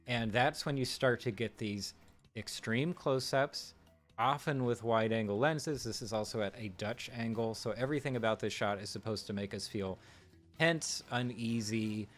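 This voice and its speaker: A man's voice: fairly low pitch, unhurried, faint music behind. A man streaming live, talking through film technique in a casual, musing way with fillers and restarts.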